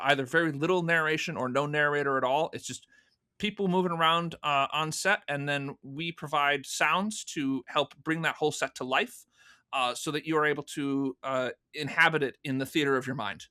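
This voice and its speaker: Bright-voiced man as an interview guest. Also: on the high side, normal speed, clear, resonant tone. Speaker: podcast guest, fan audio drama producer. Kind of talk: casual conversational interview answers.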